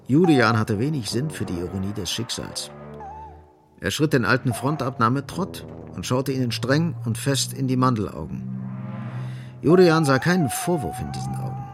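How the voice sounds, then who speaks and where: An actor as the sardonic narrator of a radio play, delivering literary prose in a grave, emphatic, ironic way. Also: male voice, medium pitch, sing-song, moderate pace, over music. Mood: grave irony.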